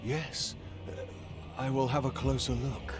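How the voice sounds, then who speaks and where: A man's voice: mid-range voice, little pitch movement, measured pace, over music, lightly acted. A man playing a grumbling, dry-humored fantasy adventurer and scholar in a video game. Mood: flustered.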